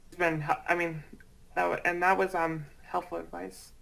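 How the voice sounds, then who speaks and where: A woman's voice: on the low side, level tone, moderate pace, vocal fry, fairly bright tone, rough recording. A woman chatting casually, hesitant and apologetic, often stammering over the words. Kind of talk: casual chat.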